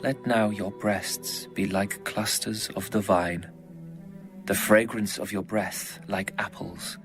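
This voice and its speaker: Slow, low-voiced tender biblical lover played by a man. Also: flat delivery, over music. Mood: tender, adoring. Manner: slow, measured, poetic. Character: tender adoring male lover, biblical beloved. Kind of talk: dramatized audio Bible reading over music.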